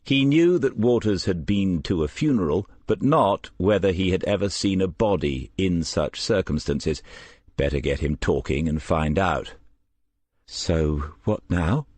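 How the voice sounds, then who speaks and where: A man's voice: low-pitched, animated, moderate pace, a little mellow, dry recording. A man reading a crime story as scripted audiobook narration.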